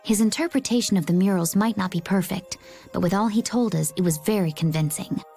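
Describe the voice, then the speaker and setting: Woman for calm, tactful young game heroine, mid-pitched, over soft music. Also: fairly quick, creaky, natural voice. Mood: composed. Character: poised, calm young woman, gently diplomatic. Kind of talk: video game dialogue, composed. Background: background music.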